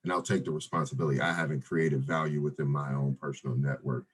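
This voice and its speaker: A man around forty with a deep voice, talking casually as a guest on an interview podcast, with many fillers and restarts. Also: fairly even intonation, fast talker, husky. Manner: laid-back, many fillers and restarts, says "brother" and "right?". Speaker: real estate investor guest.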